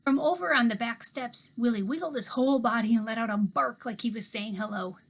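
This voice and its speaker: A woman teacher reads a chapter book aloud to her class, giving the story an expressive, storytelling lilt. Her voice sits a little higher than most women's. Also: fairly quick. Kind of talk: reading a novel aloud to a class.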